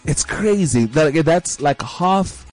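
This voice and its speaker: A man's voice: a little high, expressive, slow, a little mellow, soft background music, low-quality recording. A man hosting a casual interview show, rambling with many fillers and restarts. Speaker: show host.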